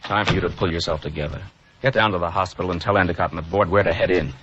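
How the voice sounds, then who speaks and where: An actor playing a blunt, brusque doctor friend in an old radio drama. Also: male voice, deep voice, steady tone, very fast, natural voice. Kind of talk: 1940s radio acting. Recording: dull old radio recording.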